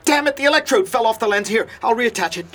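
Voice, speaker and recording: Actor as excitable, anxious young man. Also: on the high side, slightly rough, full character voice. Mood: agitated. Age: 20s. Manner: halting, excitable. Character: earnest, anxious young man, 28, easily flustered. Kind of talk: audio drama acting.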